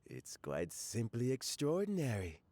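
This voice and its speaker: An actor playing an enthusiastic food critic in a video game, savoring each phrase with emphatic delivery. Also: male voice, mid-range voice, wildly swooping pitch, unhurried, a little mellow, slight background noise. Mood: impressed, savoring. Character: male food critic judge, relishing, enthusiastic gourmet. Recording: music playing behind the voice.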